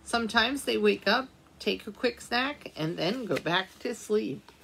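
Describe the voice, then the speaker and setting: Woman, storytime librarian, read-aloud, gentle questions, gravelly. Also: mid-range voice, lively intonation, measured pace, a little hiss or hum, rough recording. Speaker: children's librarian. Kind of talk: reading a picture book aloud for storytime.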